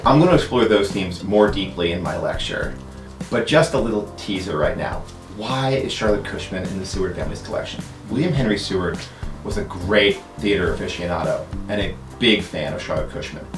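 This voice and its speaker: A man's voice: sits low, expressive, a little fast, reverberant, over music. A man presenting to camera as a playful, self-aware museum education host.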